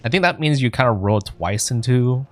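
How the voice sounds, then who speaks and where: A man's voice: medium pitch, expressive, normal speed. A man giving casual let's play commentary as a game streamer, chatty and reacting aloud.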